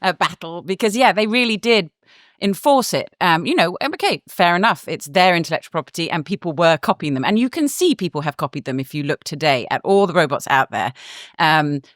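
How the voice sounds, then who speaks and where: A woman's voice: on the low side, animated, brisk, dry recording, clean studio sound. A woman guest reporter explaining things in a casual podcast chat, with lots of fillers, restarts and easy laughs. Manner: chatty, laughs often, many fillers and restarts.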